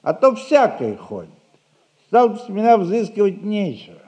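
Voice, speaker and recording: An actor playing a tipsy, shifty gardener with feigned dignity, grumbling evasively in a theatrical 1960s audio play. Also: male voice, high voice, sing-song, moderate pace, dark tone. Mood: grumbling, defensive. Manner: evasive, self-righteous grumbling.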